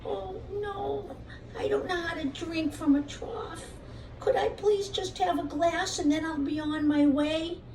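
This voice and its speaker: Woman putting on a high, pleading little old lady. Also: a little mellow. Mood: plaintive, pleading. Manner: plaintive, drawn-out pleading. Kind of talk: children's puppet show. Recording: roomy, distant mic, faint noise.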